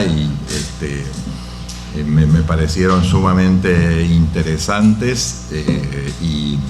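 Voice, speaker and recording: A man answering audience questions after a lecture, slow and halting with many fillers. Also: deep voice, echoey room. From Argentina. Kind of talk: academic Q&A answer.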